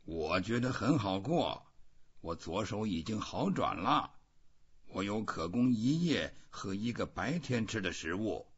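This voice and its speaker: A man voicing a stoic, reflective old fisherman in a storybook, husky and breathy, musing slowly to himself. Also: fairly low pitch, big pitch swings, highs cut off, exaggerated voice. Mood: addressing the fish.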